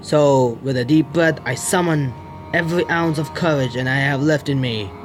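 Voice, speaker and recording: A man hosting a gaming video, reading game text aloud and commenting on it in a jittery, excitable way. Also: mid-range voice, moderate pace. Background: game music.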